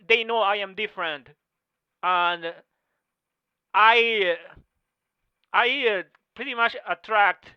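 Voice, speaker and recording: Halting non-native man on a video call. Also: sits high, smooth, no room sound. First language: not English or French. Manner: halting, full of "uh" pauses and restarts. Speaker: Masters track and field athlete. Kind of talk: testimony in a video meeting. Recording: slightly muffled video call audio.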